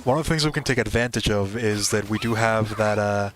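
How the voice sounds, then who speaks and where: A man's voice: on the low side, brisk. A man giving casual gameplay commentary as a gaming host, thinking aloud and correcting himself as he goes.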